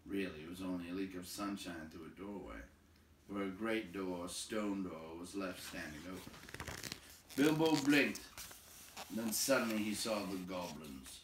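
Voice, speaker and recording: A man reading a story aloud in an expressive, deliberate, dramatic narration. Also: low voice. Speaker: storybook reader. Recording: roomy, distant, faint background noise.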